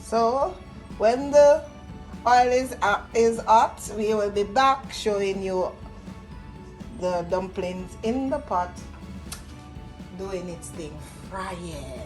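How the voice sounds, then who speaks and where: A woman's voice: mid-range voice, slow pace, pauses often, a little mellow. A middle-aged woman with a Jamaican accent giving casual cooking instruction as a host. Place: echoey home kitchen. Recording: echoey room, faint music. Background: faint music.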